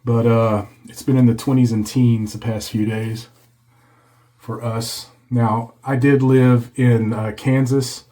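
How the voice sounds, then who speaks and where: A man hosting a casual shaving video, congested and a bit hoarse from a cold, chatting as he lathers. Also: mid-range voice, flat delivery, takes it slowly, warm tone. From Texas. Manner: chatty, reads product blurb aloud. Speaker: shaving channel host. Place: home office.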